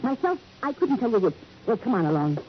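A woman's voice: on the high side, big pitch swings, quick pace. A woman playing a world-weary hotel keeper in an old radio crime drama, musing in a sardonic, insinuating way. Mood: needling, weary. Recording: muffled old radio recording, faint noise.

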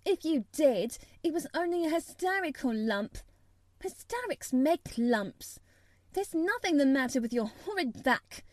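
A woman voicing a furious, bossy little girl from a storybook, shouting commands and contradictions. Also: high-pitched, big pitch swings, slow pace, few pauses, no echo, exaggerated voice. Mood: fierce, scornful.

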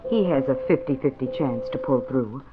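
Actor as terse hospital medic over music. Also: male voice, mid-range voice, expressive, moderate pace, phone-quality sound, lightly acted. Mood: grave. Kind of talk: 1940s radio acting.

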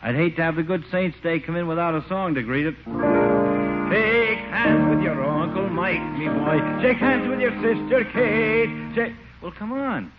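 A man's voice: sits high, normal speed, over loud music, close to an everyday voice. An actor playing a wisecracking, sociable small-town man in an old radio comedy, folksy and wry. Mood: jovial. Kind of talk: 1940s radio sitcom acting. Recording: muffled, noisy old radio recording.

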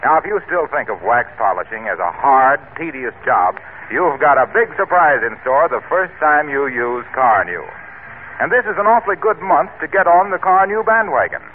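A male announcer reading a radio commercial in a smooth, persuasive pitchman manner. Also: big pitch swings, normal speed, a little hiss or hum. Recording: tinny old radio broadcast.

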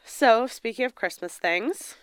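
A young woman co-hosts a casual chat, her nose a little stuffed up from a cold. She giggles often and gets animated telling stories. Her voice is smooth and clean, recorded close and dry. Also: on the high side, a little slow, no room sound. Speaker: podcast co-host.

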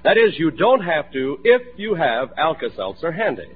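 A man's voice: on the high side, sing-song, normal speed, slight background noise. An American man announcing a commercial in 1940s radio style, with a hearty, persuasive sales delivery. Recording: tinny, thin vintage radio recording.

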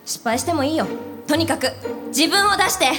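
An actress playing a supportive senior office colleague, encouraging and unhurried, in a live radio drama. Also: female voice, high voice, expressive, over music. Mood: encouraging, reflective.

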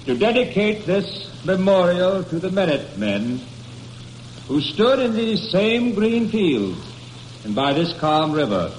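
An actor playing a solemn, formal orator delivering a ceremonial speech in an old-time radio drama. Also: male voice, sits high, very animated, unhurried, a little hiss or hum, poor audio. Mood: solemn, commemorative. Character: solemn, stately orator at a memorial dedication.

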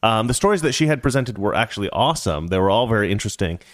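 A man's voice: on the low side, expressive, very fast, dry recording. A man hosting a casual commentary show, rambling and opinionated with a joking edge. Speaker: show host.

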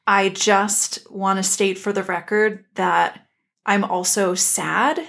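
A woman's voice: mid-range voice, fairly even intonation, takes it slowly, gravelly, fairly bright tone. A woman co-hosting a podcast, speaking in an earnest, emphatic way in a casual analytical discussion. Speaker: podcast co-host.

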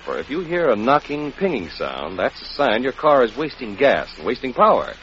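A man's voice: medium pitch, sing-song, normal speed. A man reading a scripted 1940s radio commercial as a folksy announcer who addresses listeners directly. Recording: muffled, faintly noisy vintage radio broadcast.

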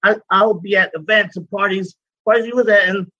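A bright, fairly high-pitched man in his forties, chatting loosely as an interview guest. He talks in loose, repetitive runs, leaning on "you know". The sound is dry and a bit dull. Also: a little fast, no room sound. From Guyana. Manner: relaxed, repetitive, rambling with many "you know"s. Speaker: cigar business owner, guest. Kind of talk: casual interview talk.

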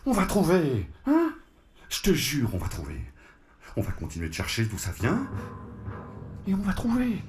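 An actor playing a flustered, exasperated barber in an audio drama, stammering and protesting as he tries to calm a friend. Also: on the high side, moderate pace, long pauses. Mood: exasperated, placating. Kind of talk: French audio fiction acting.

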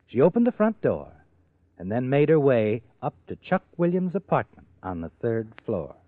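Actor as ominous old-time radio mystery narrator. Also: male voice, medium pitch, very animated, moderate pace, no echo, lightly acted. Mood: grave, suspenseful. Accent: American. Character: mysterious male omniscient narrator of dark tales. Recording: vintage radio, narrow phone-like band, music behind.

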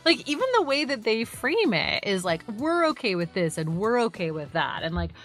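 A woman co-hosting a podcast in casual chat, with an animated, irreverent and sarcastic way of talking that includes free swearing. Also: medium pitch, moderate pace, soft background music. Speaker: podcast co-host.

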